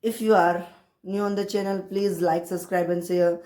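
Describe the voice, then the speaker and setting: A woman with an Indian accent and Hindi as her native language, talking casually and haltingly in learner's English, repeating herself to encourage viewers. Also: on the low side, level tone, dark tone. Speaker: English-learning video creator. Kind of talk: casual motivational talk to camera. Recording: roomy, echoey sound.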